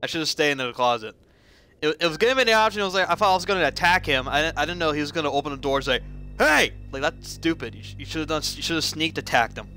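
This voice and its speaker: A man's voice: fairly high pitch, animated, quick pace, bright, forceful tone. A man giving casual, self-mocking gameplay commentary as a streamer, muttering his reactions. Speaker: game streamer. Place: small room indoors, live stream. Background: game audio with music.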